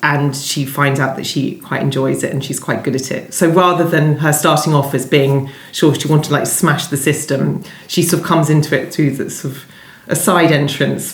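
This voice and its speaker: A woman's voice: low voice, fairly even intonation, moderate pace. A woman speaking conversationally as an interviewed novelist, in a discursive way, often interrupting and rephrasing herself. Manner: discursive, self-interrupting, hedging with "sort of". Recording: echoey room.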